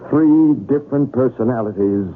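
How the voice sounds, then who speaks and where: An actor playing a worried, insistent husband and professor, speaking earnestly in an old-time radio drama style. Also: male voice, medium pitch, normal speed, natural voice. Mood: puzzled, concerned. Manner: earnest, urgent. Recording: tinny, noisy old radio broadcast.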